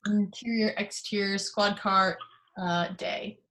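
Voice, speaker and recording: A woman reading the action descriptions at a script table read, in a plain, even manner. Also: medium pitch, takes it slowly, poor audio. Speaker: narrator reading stage directions.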